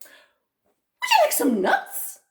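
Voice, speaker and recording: A woman voicing an excitable, cheeky monkey in a children's story, exclamatory and easily horrified. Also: very slow, echoey room, exaggerated voice. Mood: cheeky, chirpy. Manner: high, swooping, exclamatory.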